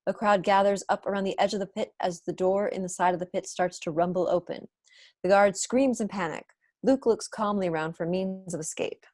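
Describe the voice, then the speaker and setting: A woman's voice: medium pitch, fairly even intonation, a little fast, darkish tone, no room sound. A young adult woman reading stage directions as narrator in an online table read, recorded at home over earphones on a video call. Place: small room at home, indoors. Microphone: wired earphones on a video call.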